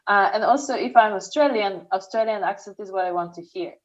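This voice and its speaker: Young woman w eastern european accent, talks slow n careful, kinda muffled lo-fi audio. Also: mid-range voice. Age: young adult. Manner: speaks slowly and carefully, with frequent restarts. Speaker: startup founder, interview guest. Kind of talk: interview answers.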